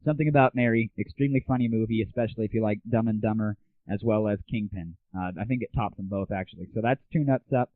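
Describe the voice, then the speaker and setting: A man's voice: on the low side, fast talker, no echo. A man hosting a comedy audio show in a casual, crude, sarcastic rant. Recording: dry, muffled narrow-band audio.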